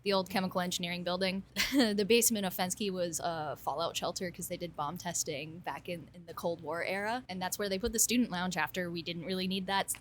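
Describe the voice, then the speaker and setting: Low-voiced woman, casual and self-deprecating. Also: fairly bright tone, a little hiss or hum. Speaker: kombucha brewer and engineering alumna. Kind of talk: conversational interview answers. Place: brewery.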